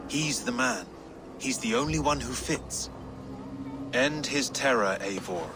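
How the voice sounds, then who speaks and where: An actor playing a wry, defiant medieval English reeve with a salty, sardonic turn of speech, in a video game. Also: male voice, mid-range voice, lively intonation, slow pace, background noise. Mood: indignant, scornful. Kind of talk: dramatic video game acting.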